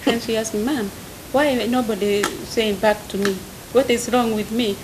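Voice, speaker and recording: A woman leading a casual group discussion, with halting, simply phrased speech and frequent "mm" backchannels. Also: fairly high pitch, fairly even intonation, background noise. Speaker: discussion leader.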